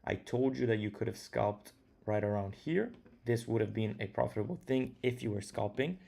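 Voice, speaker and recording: Gravelly low-voiced Italian man. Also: normal speed, mellow. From Italy. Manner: casual, rambling, thinks aloud with fillers. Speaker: trading streamer. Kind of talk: live stream commentary. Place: his home.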